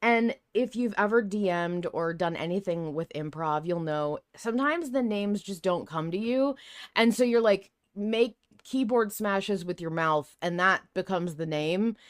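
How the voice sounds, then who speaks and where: A woman podcast host in casual fan discussion, telling things in an animated way with quoted asides. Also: medium pitch, a little slow, clear, resonant tone, no room sound, clean studio sound.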